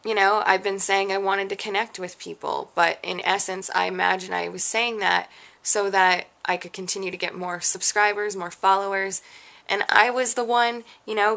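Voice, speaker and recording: A woman's voice: mid-range voice, creaky, pushed and bright. A young adult woman vlogging a frustrated, rambling rant, questioning herself as she talks. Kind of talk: candid rant to camera.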